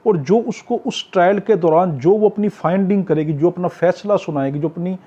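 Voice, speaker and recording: A man's voice: sits high, fairly quick, warm tone. A man with a Pakistani accent lecturing as a law tutor, explaining point by point, posing questions and answering them himself with much repetition. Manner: didactic, poses questions then answers them, repeats key points. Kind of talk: exam-prep lecture, explaining statutes point by point. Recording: dull, lo-fi, muffled sound.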